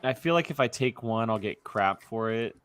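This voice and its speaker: Bright-voiced young man hosting casual chat. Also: fairly low pitch, animated, moderate pace, clean tone. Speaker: host running a movie draft show.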